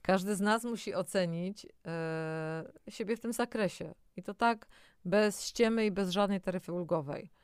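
A woman's voice: medium pitch, moderate pace, clean tone, no echo, clean studio sound. A woman, a dietitian co-hosting a health programme, giving frank, no-nonsense advice in a conversational style.

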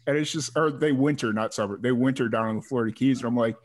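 A man's voice: medium pitch, quick pace. A man from Chicago hosting an interview, talking in a rambling, friendly way with lots of "uh" and restarts. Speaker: host. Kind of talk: casual interview chat.

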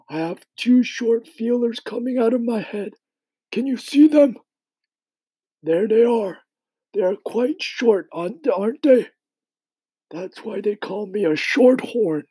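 High, slow old grasshopper gentleman. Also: slightly rough, no room sound, exaggerated voice, low-quality recording. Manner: leisurely, emphatic, old-fashioned gentlemanly phrasing. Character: old male grasshopper, kindly, proud, genteel lecturer.

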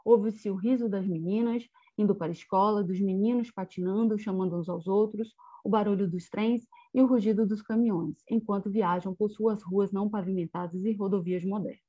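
A woman's voice: mid-range voice, fairly even intonation, fairly quick, few pauses, dark tone, breathy edge, no room sound, muffled recording. A woman with a Brazilian Portuguese accent giving an academic presentation on slides, partly reading from a text, as a researcher. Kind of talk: academic lecture with slides, partly read aloud.

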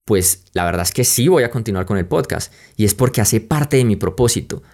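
A man's voice: on the low side, expressive, brisk, a little mellow. Young Colombian man telling his story. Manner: reflective, conversational, speaks straight to listeners. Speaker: podcast host. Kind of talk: personal storytelling podcast. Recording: music playing under the voice.

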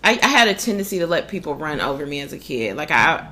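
Loud, blunt woman with a low, bright voice. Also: big pitch swings, quick pace, slight background noise. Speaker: podcast co-host. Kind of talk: casual relationship advice chat.

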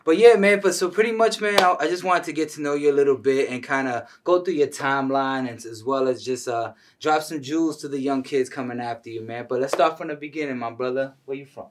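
A man's voice: medium pitch, brisk, hardly any pauses, distant mic, slight background noise. Slangy American podcast host, man. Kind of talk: casual podcast interview.

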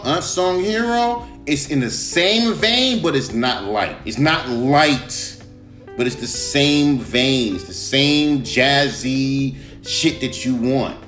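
A man with a smooth baritone voice, hosting a casual solo podcast and ranting about his own music, swearing often. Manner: animated, swears often, jumps to a high voice when mimicking fans. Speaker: podcast host and rapper. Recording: roomy room with guitar music behind.

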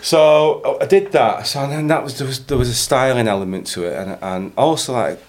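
A man's voice: mid-range voice, brisk. A man from Bolton with a Northern English accent, a podcast guest telling stories in casual, swearing, laughing chat. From Bolton, England. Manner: animated, swearing, laughing storyteller.

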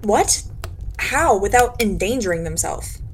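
A woman playing a sharp, accusing detective in a 1940s-style radio drama, commanding and sardonic as she interrogates. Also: mid-range voice, lively intonation, measured pace, a little hiss or hum, close to an everyday voice. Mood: intrigued. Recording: dramatic music behind the voice.

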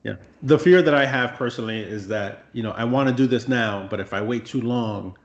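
A man interviewing a guest in a casual conversation, reflective and relaxed, with frequent fillers. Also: medium pitch, fairly quick, reverberant, lo-fi sound.

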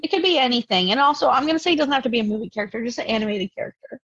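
Higher-voiced woman, blunt casual podcast co-host, dry and slightly muffled recording. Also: lively intonation, very fast, a bit bright, dry recording. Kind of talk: casual chat.

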